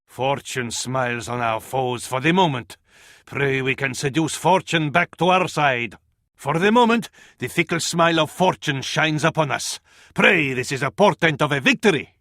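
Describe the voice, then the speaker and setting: An actor playing a devout, grandiose advisor to a sultan in a video game, with an Arabic accent and flowery, dramatic delivery. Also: male voice, a little high, bright, forceful tone, no echo, studio quality. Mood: solemn satisfaction. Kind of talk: theatrical video game voice acting.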